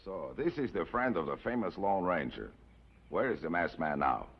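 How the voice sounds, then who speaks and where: An actor playing an aging Mexican bandit leader in an old TV western, calm and deliberate, courteous with quiet menace. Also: male voice, deep voice, breathy edge, exaggerated voice. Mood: measured. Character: measured, authoritative aging Mexican outlaw gang chief. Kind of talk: 1950s television western acting. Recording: dull, noisy old TV western soundtrack.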